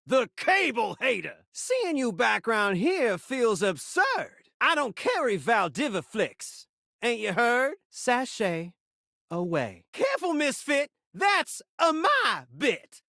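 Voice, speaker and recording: Casting: flirty, showy TV-set game character, lively swinging pitch, creaky edges, rhyming sass. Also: male voice, dry recording, clean studio sound, exaggerated voice. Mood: jilted, catty. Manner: showman-like, rhyming, teasing. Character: flamboyant talking television, flirty, sassy, theatrical show-off. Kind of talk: video game character acting in rhyming patter.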